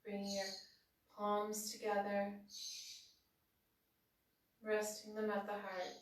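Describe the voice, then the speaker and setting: A woman leading a guided breathing meditation at the end of a yoga class, giving slow, soothing instructions. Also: mid-range voice, monotone. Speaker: yoga teacher. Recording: very roomy, from far off.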